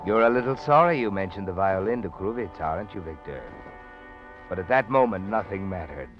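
A low-voiced, sardonic American storyteller narrates a vintage radio thriller with knowing suspense and swooping emphasis. Clear music plays behind him. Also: male voice, animated, a little fast, dull audio. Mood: knowing, ominous. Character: omniscient, sardonic male storyteller needling the protagonist. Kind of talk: 1940s radio thriller narration, second person. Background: dramatic music.

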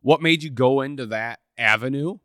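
A man hosting a hunting podcast, chatting in a relaxed way with lots of fillers and restarts. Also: mid-range voice, normal speed, dry recording, clean studio sound. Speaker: podcast host. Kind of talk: casual podcast conversation.

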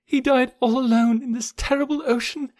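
Man voicing an anguished young American woman, pitched high. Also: lively intonation, normal speed, no room sound, studio quality, heavily acted. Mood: bleak, sobbing. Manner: plaintive, breathy exclamations, anguished. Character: grief-stricken young American woman, tender and dramatic. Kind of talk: dramatic audiobook character voice.

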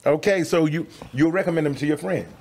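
A man's voice: fairly high pitch, a little fast, darkish tone. A forty-year-old man hosting a casual interview with slangy, teasing banter. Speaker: podcast host.

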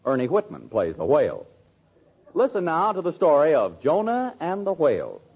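Lively male announcer, thin vintage radio sound. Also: fairly high pitch, moderate pace, creaky, slightly rough. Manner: formal, presentational. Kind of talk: 1940s scripted radio announcing. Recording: thin, phone-like old radio broadcast.

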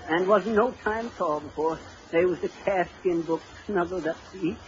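An actor playing a cheerfully cornball, wily husband, telling tall tales in rustic homespun talk in the manner of 1930s radio comedy. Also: male voice, sits high, moderate pace, crowd noise, lightly acted. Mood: fond yarn-spinning. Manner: drawling rustic storyteller with homespun grammar. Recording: dull, noisy 1930s radio recording.

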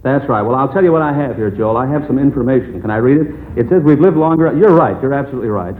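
A man's voice: mid-range voice, lively intonation, fast. An American man hosting a 1940s radio quiz show in a chatty, encouraging manner. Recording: noisy, tinny old radio broadcast.

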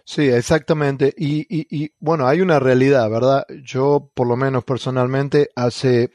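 Argentine man, podcast co-host, mid-pitched voice, dry close sound, halting with fillers. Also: steady tone, a little mellow, no room sound. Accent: Argentine Spanish. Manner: hesitant, many fillers and restarts. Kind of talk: casual podcast chat.

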